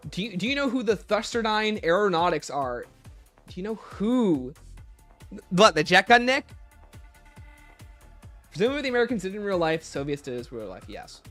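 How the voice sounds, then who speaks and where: A man with a fairly high, very animated voice hosts a casual livestream. He reads viewer questions aloud and answers them conversationally, turning aside to chat with the audience. Light music plays behind him. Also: normal speed, pauses often. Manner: conversational, breaks off to greet and thank viewers. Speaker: livestream host.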